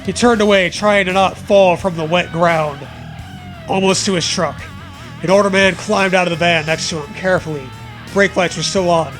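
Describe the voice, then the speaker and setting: A man's voice: high voice, steady tone, moderate pace, a bit bright, soft background music. A man giving a comedic, mock-dramatic reading aloud as co-host, with profane banter.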